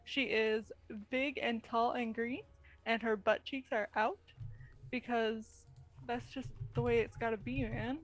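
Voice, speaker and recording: A woman's voice: a little high, fairly even intonation, measured pace, low-quality recording. A woman player and cosplayer in a tabletop stream, laid-back and casual, often saying "like" and "man". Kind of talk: casual chat.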